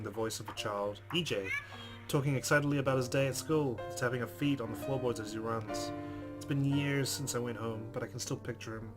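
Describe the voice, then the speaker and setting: Let's player, mid-low voice, reading story narration with casual asides over clear guitar music.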